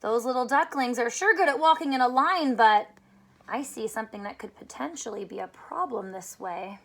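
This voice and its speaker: A woman's voice: fairly high pitch, moderate pace, fairly bright tone. A woman teacher reading a storybook aloud to young children, expressively, with questions and asides spoken straight to them. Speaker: elementary school teacher reading aloud to students.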